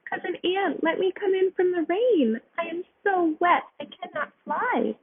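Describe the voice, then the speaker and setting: A woman's voice: extremely high pitch, unhurried, phone-quality sound, noisy background, pitched above the performer's own voice. A woman voicing a small, wet, weary butterfly who pleads politely in a storybook. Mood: weary pleading.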